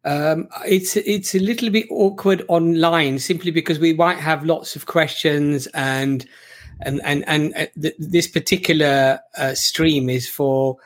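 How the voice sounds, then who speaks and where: A man's voice: fairly high pitch, steady tone, measured pace. A man hosting a live call-in stream, casually moderating in a polite, hesitant, apologetic way. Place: online stream.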